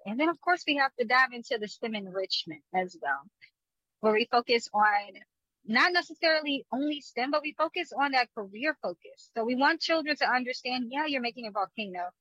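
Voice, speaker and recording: A woman's voice: a little high, pushed and bright, dry recording, muffled recording. A woman from DC, a guest on a show, answering upbeat and laughing between answers. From Washington DC. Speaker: guest, business owner. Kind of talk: casual interview talk.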